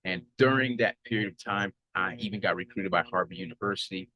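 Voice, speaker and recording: Low-voiced upbeat podcast host, a man. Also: a little fast, dull audio. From Haiti, raised in Florida. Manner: upbeat and earnest, with frequent fillers and restarts. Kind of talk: solo podcast introduction.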